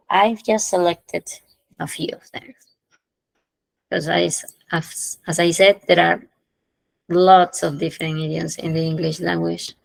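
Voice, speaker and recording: A woman's voice: on the low side, vocal fry, no room sound, rough recording. A woman teaching English idioms in an online class, explaining patiently, repeating phrases and checking in with her students. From Peru.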